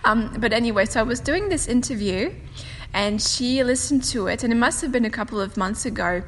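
A woman whose first language is French, hosting a casual solo podcast and talking quickly, with frequent laughter. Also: on the high side, lively intonation. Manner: fast-talking, chatty, laughs often. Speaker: podcast host and meditation teacher. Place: home room with a fireplace on a windy day. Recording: long room reverb, faint wind whoosh. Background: faint wind noise from the fireplace.

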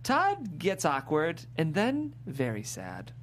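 A woman reads scene headings and stage directions from a script. Her narration moves around a lot in pitch and is plainly scripted. There is clear noise and hum behind the voice. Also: huge pitch swings, slow pace. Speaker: stage-direction reader at a table read.

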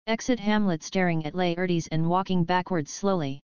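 Very smooth, clear female narrator. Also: on the low side, normal speed, darkish tone, no echo. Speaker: narrator reading stage directions. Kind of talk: scripted narration. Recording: slightly dull, dry recording.

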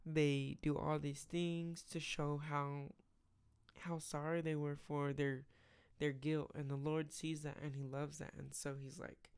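A podcast host with a raspy, tired late-night voice, casually retelling a Bible story and praying, rambling with many fillers. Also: mid-range voice, flat delivery, a little slow, darkish tone. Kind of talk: casual faith podcast with prayer.

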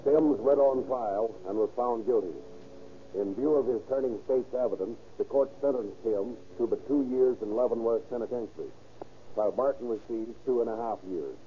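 A man narrating in a solemn, deliberate, moralizing 1930s radio style. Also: mid-range voice. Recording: muffled, hissy old radio recording.

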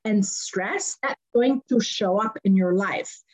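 A woman's voice: mid-range voice, takes it slowly, darkish tone, rough recording. A woman in her fifties with a Lebanese accent, a guest on a podcast, explaining her work earnestly and repeating phrases for emphasis. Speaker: guest, conflict resolution coach. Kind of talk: podcast interview.

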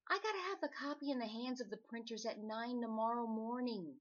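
A woman's voice: fairly high pitch, fairly quick, highs cut off, lightly acted. An actress playing an overworked, wry woman writer on deadline in an old-time radio drama, sounding exasperated.

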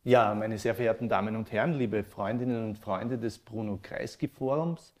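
A man hosting a live literary talk, speaking in a halting, searching way. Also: on the low side, a little mellow, soft background music. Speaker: interview host. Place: living room of a former residence, indoors.

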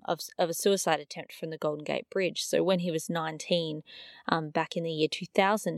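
A woman hosting a podcast, introducing an episode in an earnest, chatty way with many fillers, her voice a little raspy and nasal. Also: sits low, no echo, studio quality. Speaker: podcast host.

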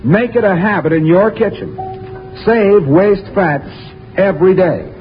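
A man's voice: high-pitched, measured pace, over music. A man announcing in polished 1940s radio style, reading a scripted appeal with earnest emphasis. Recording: muffled 1940s radio, faint noise.

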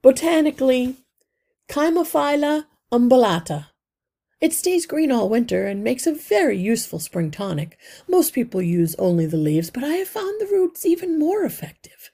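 A woman's voice: sits high, sing-song, moderate pace, warm tone, husky, voice pushed up, full character voice. A woman voicing a very old, wise male mouse healer with a kindly, authoritative manner for a children's storybook. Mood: learned. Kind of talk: children's storybook read-aloud.

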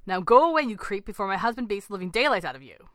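A woman's voice: medium pitch, very animated, very fast, fairly bright tone, dry recording, clean studio sound. A woman voicing a protective, frightened young mother who is urgent and fierce with strangers. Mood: angry warning. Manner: urgent, warning, emphatic.

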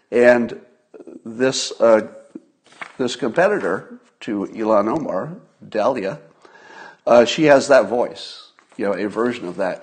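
A man hosting a solo livestream, giving casual political commentary in a conversational, emphatic manner with pauses for effect. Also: on the low side, breathy edge.

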